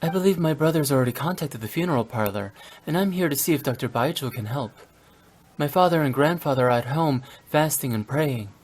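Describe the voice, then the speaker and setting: A man playing a courteous, composed young nobleman in a video game, speaking in a measured, formal way. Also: on the high side, animated, darkish tone, a little hiss or hum, natural voice. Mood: resigned. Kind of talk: English video game voice acting.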